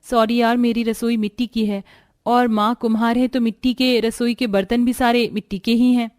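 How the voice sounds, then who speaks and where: A woman's voice: on the high side, little pitch movement, moderate pace, a little mellow, no room sound, clean studio sound, natural voice. A woman voicing a poor, dutiful young village daughter in a Hindi cartoon story, earnest and plaintive. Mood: dutiful, apologetic.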